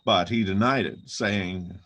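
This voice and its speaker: Male narrator for a scripture reading, lowish register, raspy tone. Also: takes it slowly, a bit bright.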